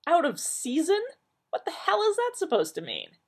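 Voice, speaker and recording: A woman's voice: mid-range voice, sing-song, normal speed, darkish tone, breathy edge, voice pushed up. A woman voicing a neurotic, irritable male doctor who complains in an exasperated, whiny way.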